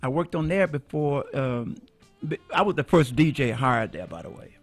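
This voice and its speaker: An older man from Mississippi, a former radio DJ and minister, telling his life story in a relaxed, folksy way with many restarts and chuckles. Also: medium pitch, normal speed, faint music behind. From Mississippi, USA.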